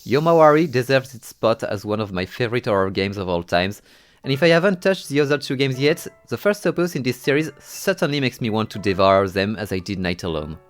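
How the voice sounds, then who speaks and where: A man's voice: medium pitch, animated, brisk. A man narrating a horror game playthrough from a script, moving between dramatic storytelling and wry, exasperated asides. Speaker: video game reviewer and narrator.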